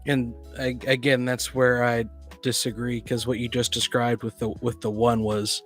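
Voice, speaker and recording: A man with an average-pitched voice argues his side in a casual debate. He is insistent and contrary, but halting as he builds his sentences. Music plays faintly behind him. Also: flat delivery, fairly bright tone. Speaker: panelist in a tabletop gaming discussion.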